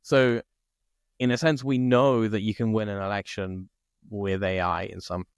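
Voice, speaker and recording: A man from Italy co-hosting a podcast, chatting casually and thinking out loud, often checking in with "right?". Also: on the low side, little pitch movement, measured pace, clear, resonant tone, no room sound, studio quality. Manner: thinks aloud, peppers speech with "like" and "right?". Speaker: podcast co-host. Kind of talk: casual conversation.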